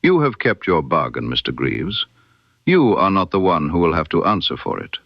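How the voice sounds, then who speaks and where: A man voicing a shrewd, composed gentleman detective in an audiobook, speaking with precise, quietly controlled insistence. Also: sits low, very animated, moderate pace, no room sound, dull audio. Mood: gentler, assured. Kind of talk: character dialogue in an audiobook.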